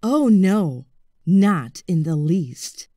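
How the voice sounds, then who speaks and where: A woman voicing a polite male crocodile with a sweet tooth in a children's story, savouring words in a drawn-out way. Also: on the low side, big pitch swings, mellow, dry recording, studio quality, voice pushed down, exaggerated voice. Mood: obliging. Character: smooth-tongued, sweet-craving male crocodile.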